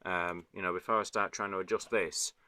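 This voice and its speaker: A man's voice: low-pitched, steady tone, a little fast, sharp tone. A man talking casually to a phone as a hobbyist explaining a repair, rambling and often correcting himself apologetically. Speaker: hobbyist video maker. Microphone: phone recording.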